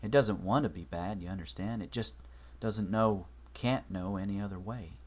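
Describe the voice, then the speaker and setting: A man reading a story, voicing a calm, wry man who speaks in a dry, measured, matter-of-fact way. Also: fairly low pitch, natural voice. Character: calm, wry man comforting his friend.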